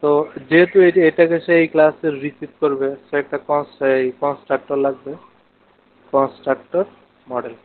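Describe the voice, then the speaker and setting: A man's voice: fairly high pitch, fairly even intonation, moderate pace. A man with a Bangladeshi accent teaching a live online coding lesson step by step, mixing in English technical terms. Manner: explains step by step, asking questions of students. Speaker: programming instructor. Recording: narrow phone-like online class audio.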